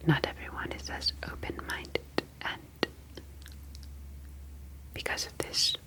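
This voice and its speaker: Woman as slow, whispering, gentle ocean alien. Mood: calm, reassuring. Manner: soothing, slow, deliberate, formal phrasing. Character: gentle reassuring humanoid ocean alien caretaker-scientist. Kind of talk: whispered ASMR sci-fi roleplay.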